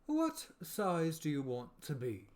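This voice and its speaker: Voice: mid-range voice, huge pitch swings, darkish tone. Somebody acting a haughty caterpillar from a storybook, drawn out words, swoopy intonation, curt and scornful. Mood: decided, disapproving. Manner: slow, drawling, terse and disdainful. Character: stern, contemptuous hookah-smoking caterpillar, curt and aloof. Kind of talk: acted character reading of classic storybook.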